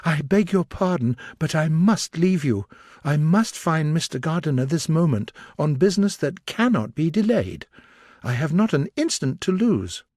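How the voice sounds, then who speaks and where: A man reading aloud, voicing a distraught young gentlewoman with an urgent, pleading, high and breaking voice. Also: sing-song, moderate pace, no room sound, clean studio sound. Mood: distressed.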